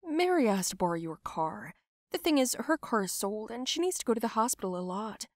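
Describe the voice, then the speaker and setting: A woman voicing a calm, pleading middle-aged mother in a narrated story. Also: on the high side, fairly quick, no room sound, studio quality.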